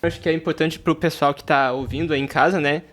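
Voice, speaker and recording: A young man co-hosts a podcast and rambles through his questions. He tags nearly every phrase with a filler. His voice sits fairly high for a man. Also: steady tone, fast talker, fairly bright tone. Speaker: podcast co-host, physics student. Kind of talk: casual podcast hosting.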